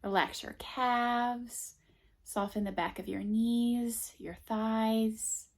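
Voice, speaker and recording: A woman yoga teacher leading a guided meditation in soothing, gentle short phrases, with a soft voice that turns breathy at times. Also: fairly high pitch, fairly even intonation, takes it slowly.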